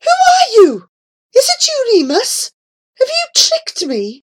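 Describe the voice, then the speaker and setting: Storybook old grandfather, played by a woman: alarmed, pleading, agitated, pitched very high and swooping. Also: huge pitch swings, slow pace, heavily acted. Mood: horrified, pleading. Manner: agitated exclamations and anxious questions. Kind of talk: dramatised storybook reading.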